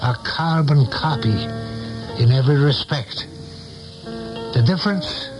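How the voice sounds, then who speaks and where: An actor playing a concerned, probing American man, a confidant, with measured, deliberate delivery in a 1970s radio drama style. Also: on the high side, music in the background, rough recording. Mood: puzzled.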